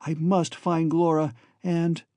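A man's voice: on the high side, flat delivery, slow pace, dark tone, no room sound, voice pushed up. A man voicing an earnest, devoted young man in an emotional, pleading audiobook dialogue. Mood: reassuring. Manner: choked, emotional, pleading delivery. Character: earnest, devoted young man bidding his family farewell.

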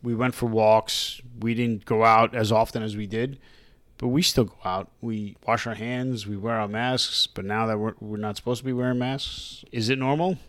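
A man co-hosting a podcast in casual chat, with a loud voice and a jokey, chatty way of talking. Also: lowish voice, fairly even intonation, moderate pace, no room sound. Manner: jokey and chatty, laughs often. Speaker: podcast co-host.

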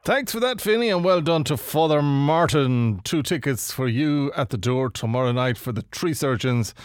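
A man's voice: medium pitch, lively intonation, moderate pace, clean tone, dry recording, close to an everyday voice. An Irish man playing a self-important, put-upon late-night love-song radio presenter in a comedy radio play, bossy and dry in his delivery. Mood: flustered patter.

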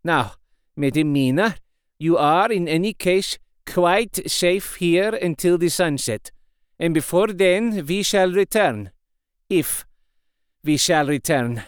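A man's voice: high voice, animated, clear, resonant tone, no echo, studio quality, pitched above the performer's own voice. A man doing a grave elderly professor. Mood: solemn. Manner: solemn, formal, slow and deliberate. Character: wise elderly male professor, kindly and grave.

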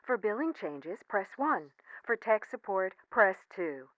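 A woman playing a cable company's automated phone menu in an audio comedy, speaking in measured, chirpy, formulaic customer-service prompts over a phone line. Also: mid-range voice, very animated, no echo. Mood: polite, unruffled. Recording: band-limited telephone line sound.

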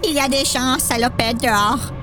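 A woman's voice: sits high, fairly even intonation, moderate pace, music in the background, natural voice, poor audio. A woman playing a worried young boy, a younger brother, in an audio drama. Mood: worried, tearful.

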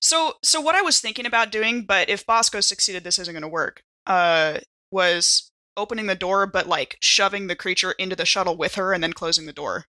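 Woman, bright clear-cut tone, casual role-playing gamer, thinks aloud, very dry recording. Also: mid-range voice, brisk, hardly any pauses, no room sound. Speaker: tabletop role-playing game player. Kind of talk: casual game-table chat.

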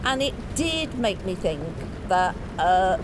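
A woman's voice: mid-range voice, very animated, takes it slowly. A woman talking reflectively and discursively as a critic in a casual panel discussion. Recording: clear urban background noise, outdoor-like ambience.

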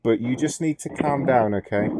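A man with a voice of ordinary pitch plays a rude customer service rep on a prank call. He sounds blunt and deadpan and keeps talking down to the caller. A faint hiss sits under his voice. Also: moderate pace.

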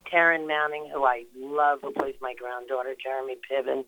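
A woman's voice: very deep voice, flat delivery, brisk, noisy background. An older woman, an actress, talking in a phone-in radio interview, chatty and rambling with lots of fillers and restarts. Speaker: actress guest. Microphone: phone call.

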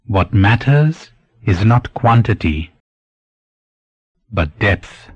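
A man narrating a scripted spiritual meditation in a measured, deliberate, instructive way, pausing between phrases. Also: on the low side, expressive, slightly rough, no echo, muffled recording.

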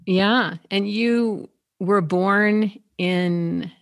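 A woman interviewer who asks gentle, searching questions and often restarts her sentences. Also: mid-range voice, drawn-out words, dry recording, studio quality. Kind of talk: conversational interview.

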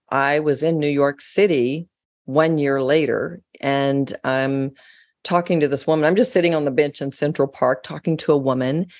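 A woman from the United States, a guest in an interview, telling stories in an earnest, animated way. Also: rumbling low. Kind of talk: casual interview talk.

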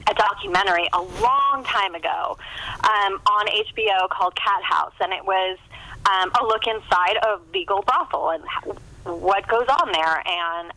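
A woman's voice: mid-range voice, pushed and bright, low-quality recording. A woman author talking casually about her work as an interview guest, chatty and rambling, often quoting imagined dialogue.

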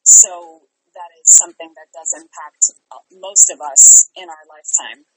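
Low-pitched woman talking in an interview. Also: normal speed, heavy background noise. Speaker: guest, theater company artistic director. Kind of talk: conversational interview, talking about own projects.

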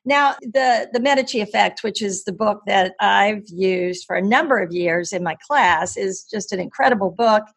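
A woman's voice: mid-range voice, normal speed, vocal fry, few pauses, slightly rough. Enthusiastic woman interviewer. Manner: enthusiastic, gushing praise. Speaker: podcast host and professor. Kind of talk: interview hosting.

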